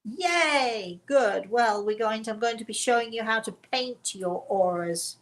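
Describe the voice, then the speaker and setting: A woman's voice: on the high side, expressive, measured pace, rough recording. A woman hosting a spiritual show, chatting casually and emphatically with a guest and viewers, often repeating herself. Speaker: host of a spiritual story and question show.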